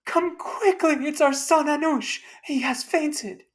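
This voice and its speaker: A woman's voice: sits high, slow, voice pushed up. A woman voicing an anxious, doting older mother, fretful and exclaiming, in a storybook. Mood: alarmed, urgent. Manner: fretful, exclaiming, sharp with husband.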